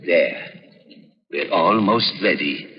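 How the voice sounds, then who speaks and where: A man's voice: sits low, expressive, slow. An actor playing a paranoid, sinister older male scientist in a 1930s radio drama, muttering and coaxing theatrically with false kindness. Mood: sly, falsely kindly. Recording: hissy, muffled 1930s radio recording, reverberant.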